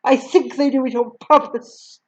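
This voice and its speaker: A woman's voice: high voice, fairly even intonation, measured pace, lo-fi sound. A woman voicing a huge, bullying female headmistress who barks and snaps at children. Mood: barking. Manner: barking, snapping, loud.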